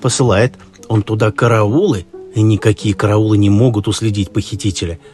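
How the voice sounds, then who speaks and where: A man narrating a fairy tale expressively, in an unhurried, folksy storytelling manner. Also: on the low side, fairly even intonation, darkish tone. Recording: music behind the voice. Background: background music.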